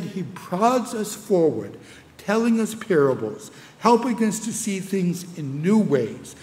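An older man with a New England accent preaches from the pulpit, slow and deliberate, his pitch sitting a touch high and swinging widely as he lifts scripture lines. His words ring out through a church with a long reverb tail. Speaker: pastor. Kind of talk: sermon. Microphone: pulpit microphone.

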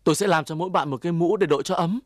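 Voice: mid-range voice, very fast, no room sound, clean studio sound, close to an everyday voice. An actor playing a sly, deceitful cartoon weasel who wheedles like a friend and boasts like a crook. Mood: friendly, grateful. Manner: wheedling, swings into boastful cackling. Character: sly deceitful weasel, fake-friendly, gloating when triumphant. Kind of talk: Vietnamese children's cartoon acting.

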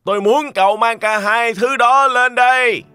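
A man's voice: extremely high pitch, normal speed, bright, forceful tone, faint music behind. A man playing a sly, menacing villain in a cartoon fairy tale, commanding and theatrical. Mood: demanding. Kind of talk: animated fairy tale dub.

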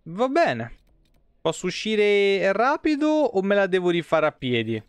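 Guy in his 30s, streaming a game, kinda high clear voice, dry mic, grumbly and sweary. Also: huge pitch swings, a little slow, no echo. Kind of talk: casual live game commentary. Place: small indoor room.

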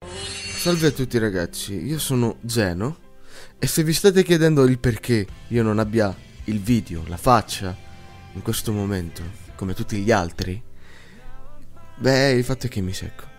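A man's voice: on the low side, expressive, unhurried, faint music behind. A man, an amateur voice actor, casually and jokingly greeting channel followers. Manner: jokey.

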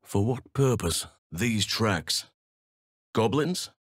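An actor playing a gentle, solemn, protective elf druid in a fantasy video game, speaking in measured, earnest, formal phrases. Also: male voice, on the low side, lively intonation, slightly rough, dry recording, clean studio sound, heavily acted. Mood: calm, thoughtful.

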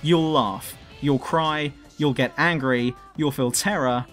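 A man's voice: mid-range voice, takes it slowly, a bit bright, faint music behind. A man reading scripted review narration as a video reviewer.

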